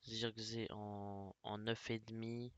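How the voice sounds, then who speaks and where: A man's voice: on the low side, flat delivery, takes it slowly, clear, resonant tone, dry recording. A man from France with a cold, casually commenting on a football management game with lots of fillers and muttered asides. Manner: chatty, many fillers, drops to mutters while navigating menus. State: has a cold. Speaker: gaming YouTuber.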